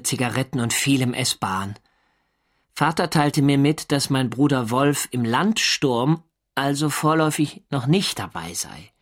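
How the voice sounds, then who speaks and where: A wry wartime sailor reading his diary, medium-pitched voice, measured radio play narration. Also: a bit bright. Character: diarist, male navy sailor recounting wartime service. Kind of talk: literary first-person narration in a radio drama.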